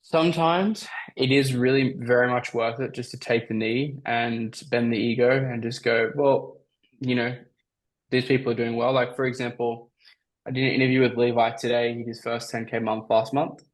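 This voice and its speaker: A man with a slightly raspy voice, coaching on a call and giving casual, emphatic advice as a sales coach. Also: medium pitch, steady tone, normal speed, poor audio. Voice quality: raspy from talking all day. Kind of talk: coaching call, answering questions.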